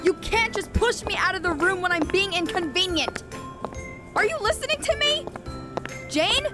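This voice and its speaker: Very high, pushy schoolgirl played by a woman. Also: lively intonation, normal speed, loud music behind the voice. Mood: indignant. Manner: demanding, protesting. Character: pushy, indignant, meddling high school girl. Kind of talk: audio drama acting.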